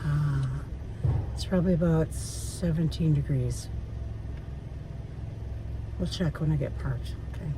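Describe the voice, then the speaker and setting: Raspy slow-talking woman in a car. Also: low voice, distant mic. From Canada. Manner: candid, frank, swears freely. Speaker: vlogger. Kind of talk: casual chat while driving. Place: inside a car. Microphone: phone or camera mounted in the car. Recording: car and road noise behind.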